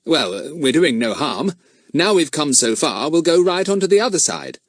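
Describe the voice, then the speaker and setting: Assured boy ringleader, from a male reader, lifted pitch, brisk. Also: big pitch swings, no echo, clean studio sound. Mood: defiant.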